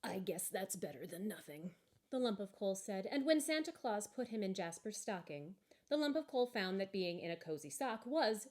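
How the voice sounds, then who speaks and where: Expressive woman reading a holiday story. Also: medium pitch. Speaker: story reader. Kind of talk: expressive children's story narration.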